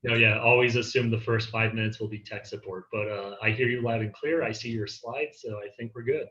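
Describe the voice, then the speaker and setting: A man hosting a talk, speaking in a relaxed, reassuring way over a video call. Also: fairly low pitch, brisk. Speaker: talk host. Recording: dull lo-fi call audio.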